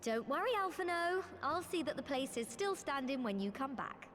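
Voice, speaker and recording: A woman's voice: high voice, sing-song, moderate pace, a bit bright, soft background music. A woman playing a perky, loyal little female helper in a fantasy video game. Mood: cheerful reassurance.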